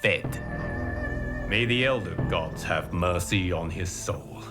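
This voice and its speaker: An actor playing a calm, solemn male fire god who briefs the player in a game cutscene, speaking in measured, formal words with music behind. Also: deep voice. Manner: measured, grave, formal diction. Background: game score music.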